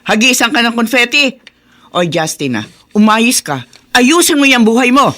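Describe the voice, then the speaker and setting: A scolding radio drama mother, middle-aged and sarcastic. Her voice is sharp and swoops up and down as she nags. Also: a little high, big pitch swings, normal speed. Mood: angry, scolding. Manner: nagging, sarcastic. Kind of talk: Filipino radio drama acting.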